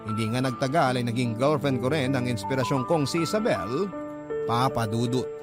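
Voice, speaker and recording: A man's voice: mid-range voice, moderate pace, lightly acted. Actor as reflective young man narrating over music. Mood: reflective, wistful. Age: young adult. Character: young man recounting his lost love, reflective. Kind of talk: radio drama narration of a personal letter.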